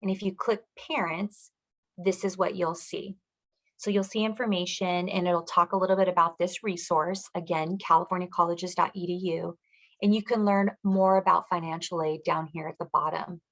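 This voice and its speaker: Slightly low-voiced woman giving an informational walkthrough. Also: steady tone, normal speed, fairly bright tone. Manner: explanatory, instructional. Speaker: school district college and career readiness director, presenter. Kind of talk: informational presentation walking through web pages.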